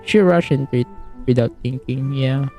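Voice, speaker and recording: A woman streaming a video game, giving casual, halting, informal reactions as she plays. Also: rumbling low. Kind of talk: casual gameplay commentary. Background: faint game music.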